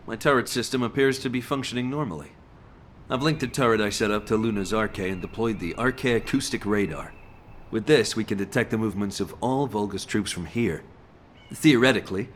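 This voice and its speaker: An actor playing a calm, analytical tech expert in a video game, articulate and dryly wry. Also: male voice, lowish voice, a little hiss or hum, natural voice.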